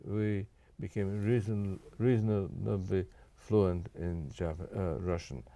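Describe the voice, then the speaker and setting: Older man, low mellow voice. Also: fairly even intonation, dry recording. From Japan. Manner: measured, careful, many restarts and fillers. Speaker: guest. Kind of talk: interview answers.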